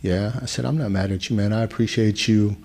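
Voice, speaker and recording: A man's voice: on the low side, fairly even intonation, a little fast, darkish tone, slight background noise. A man in his forties talking candidly and casually in slangy, confessional podcast conversation. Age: 40s.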